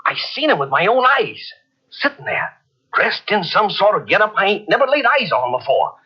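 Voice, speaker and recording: An actor playing a frightened, superstitious ship's sailor who talks in rustic dialect, in 1940s radio acting style. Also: male voice, high voice, normal speed, breathy edge, full character voice. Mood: earnest. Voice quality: rustic dialect, earnest and deferential. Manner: excitable, urgent telling. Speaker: ship's crewman. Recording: lo-fi old radio recording from cassette.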